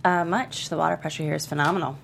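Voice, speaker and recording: A woman plays a flaky, breezy mother with a low voice and plenty of vocal fry. She sounds a little scattered, reading a drama script. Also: fairly even intonation, fast, slight background noise. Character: flaky, breezy mother, former band singer. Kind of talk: script table read, acted naturally.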